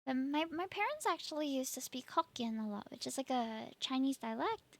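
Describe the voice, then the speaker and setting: A woman's voice: sits high, animated, moderate pace, dry recording. A woman from Singapore, hosting a stream and chatting casually, laughing often. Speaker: streamer host. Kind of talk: casual chat.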